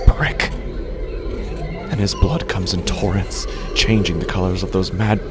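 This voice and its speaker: A man acting a haunted gambler who narrates his own horror story in a halting, dramatic way, with long pauses and near-whispers. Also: deep voice, steady tone. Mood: tense dread. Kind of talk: scripted first-person horror narration. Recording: music bed under the voice.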